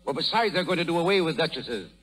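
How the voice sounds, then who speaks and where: An actor playing a sly, self-serving schemer in a 1930s radio drama, suave, formal and wheedling. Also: male voice, on the high side, animated, fast talker, fairly bright tone, soft background music, rough recording. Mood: suave, evasive. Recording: old 1930s radio broadcast.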